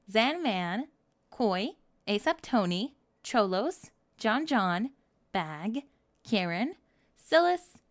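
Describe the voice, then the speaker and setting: A woman speaking as an ASMR channel host, giving an outro with thanks and shout-outs to supporters. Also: fairly high pitch.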